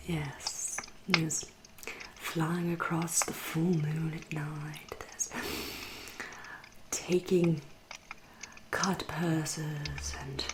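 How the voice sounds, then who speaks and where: A woman with an English accent playing a seductive, wry, refined female vampire in a soft, whispered ASMR roleplay, speaking slowly and formally. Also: low voice, little pitch movement, background noise. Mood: enticing, playful. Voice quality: soft, breathy, often whispering. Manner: slow, lingering, genteel, archly formal. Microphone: close ASMR microphone.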